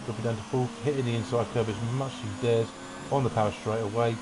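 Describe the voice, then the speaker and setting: A man giving relaxed, rambling commentary on an online racing qualifying session. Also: medium pitch, fast talker, noisy background. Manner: relaxed, rambling, self-deprecating asides. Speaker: race commentator.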